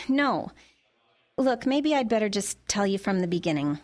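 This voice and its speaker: A woman's voice: medium pitch, very animated, measured pace. An actress playing a flustered, earnest, suspicious middle-aged mother turned amateur sleuth in an audio drama, hesitant in her natural dialogue.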